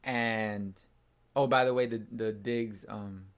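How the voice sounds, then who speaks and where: A young man hosting a casual sports reaction, excitable and slangy, with a hoarse, nearly lost voice from screaming. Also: lowish voice, slow pace. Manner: excitable, slangy, says bro a lot. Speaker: fan channel host. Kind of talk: casual post-game reaction.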